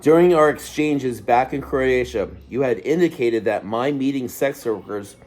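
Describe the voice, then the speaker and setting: A man's voice: mid-range voice, moderate pace, a little mellow. A man co-hosting, reading a letter aloud and adding brief casual comments. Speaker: co-host.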